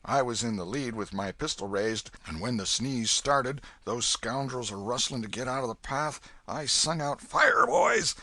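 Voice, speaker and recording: A man voicing a kindly, gruff old Welshman with a booming, fatherly manner. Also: moderate pace, few pauses, bright, forceful tone, no room sound. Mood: storytelling.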